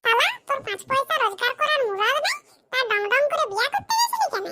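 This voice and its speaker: A performer voicing a fed-up, sarcastic wife scolding her husband in a comedy cartoon, with a rural West Bengal accent and a pitch-shifted voice. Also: sing-song, fast talker, soft background music, heavily acted. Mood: exasperated. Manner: sharp, sarcastic, colloquial scolding. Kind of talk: comedy cartoon skit dialogue.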